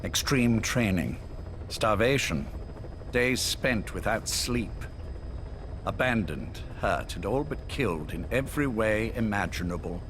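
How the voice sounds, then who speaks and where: An actor playing an older male spymaster who speaks in a calm, courteous, probing way. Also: fairly low pitch, measured pace, noisy background. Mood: measured.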